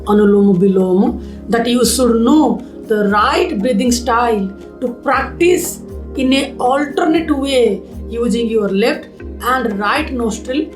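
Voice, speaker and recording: A woman teaching a lecture on yoga breathing practice, in a measured, explanatory way. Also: a little high, reverberant, faint music behind.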